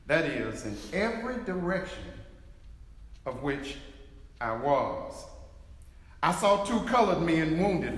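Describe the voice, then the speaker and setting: A man acting as an eyewitness in a dramatic reading, giving grave testimony in a formal, deliberate manner. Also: on the high side, a bit bright. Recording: echoey hall.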